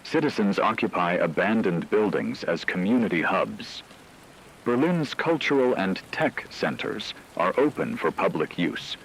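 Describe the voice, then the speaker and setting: A man reading scripted radio news as an anchor, with a formal, measured delivery. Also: fairly low pitch, animated, vocal fry, slight background noise, low-quality recording.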